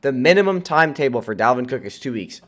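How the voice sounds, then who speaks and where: A man's voice: medium pitch, sing-song, quick pace, a bit bright. An American man with a nasal, congested voice, sick as he hosts, giving casual, emphatic fantasy football advice to viewers. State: sick with a cold. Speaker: host of a fantasy football channel.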